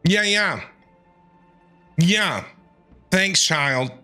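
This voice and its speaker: A man's voice: sits high, animated, drawn-out words, bright, forceful tone. A man with an American accent giving casual, playful reaction commentary to a game, talking back to its characters. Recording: game music playing behind.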